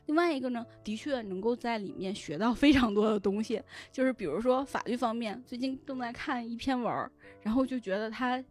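A woman's voice: fairly high pitch, fairly quick, darkish tone. A woman guest explaining things in a chatty way during a casual podcast conversation. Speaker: guest, web-fiction editor. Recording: music behind the voice. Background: music.

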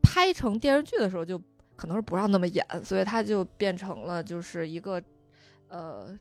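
A woman's voice: fairly low pitch, very animated, brisk. A woman co-hosting a casual podcast, retelling stories in a chatty way with joking asides, with music behind her. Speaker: podcast co-host. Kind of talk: casual chat, retelling drama plots. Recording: music under the voice. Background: background music.

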